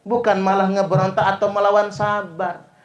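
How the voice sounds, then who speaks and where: A man's voice: sits high, little pitch movement, moderate pace, distant mic, dull audio. Man preaching in an echoey room. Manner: preacherly, leads call-and-response chants. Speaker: Islamic preacher. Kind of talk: closing remarks of a talk.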